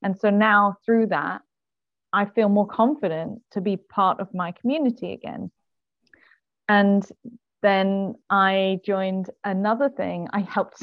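A woman's voice: mid-range voice, creaky, no echo, phone-quality sound. A woman guest on a podcast, a parent, telling her story in a friendly, chatty way. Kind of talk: casual conversation.

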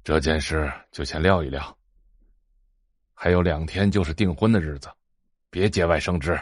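Domineering middle-aged tycoon father, very low voice, curt and pompous, close dry audio-drama sound. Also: normal speed, dry recording. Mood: firm, guarded. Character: stern, domineering middle-aged wealthy patriarch. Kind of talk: Chinese audio drama acting.

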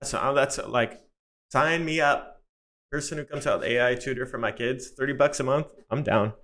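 A man's voice: medium pitch, normal speed, a bit bright. A man hosting a casual interview, upbeat and asking direct questions. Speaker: host.

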